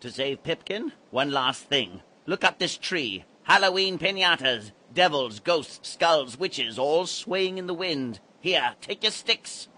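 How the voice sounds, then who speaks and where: A man's voice: on the high side, lively intonation, slow pace, few pauses, sharp tone, full character voice. An actor playing a mysterious, sinister older man who leads boys, speaking in a theatrical, commanding way in an audio drama.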